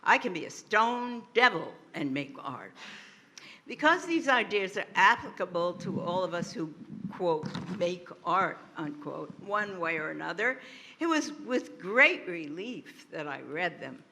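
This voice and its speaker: A woman's voice: lowish voice, big pitch swings, unhurried, pushed and bright. A woman writer at a panel, reading excerpts expressively with emphatic stresses and commenting on them.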